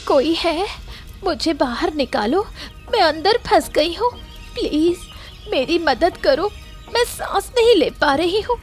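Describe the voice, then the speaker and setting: A woman's voice: very high-pitched, animated, takes it slowly, soft background music, voice pushed up, full character voice. A woman voicing a frightened, self-sacrificing younger sister, pleading shrilly in a cartoon story style. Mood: panicked, pleading. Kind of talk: Hindi cartoon moral story acting.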